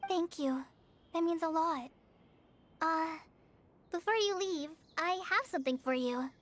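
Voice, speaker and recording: A woman's voice: very high voice, a little slow, fairly bright tone. A woman voicing an earnest, shy teenage girl, a trainee forest ranger in a video game, speaking sincerely and a little hesitantly. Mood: shy, grateful.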